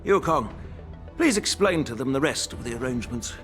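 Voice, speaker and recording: An actor playing a measured, shrewd old grandfather who is a general in a fantasy video game, speaking formally and with authority. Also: medium pitch, lively intonation, fairly bright tone, soft background music, close to an everyday voice. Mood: kindly persuasive. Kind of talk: dramatic video game voice acting.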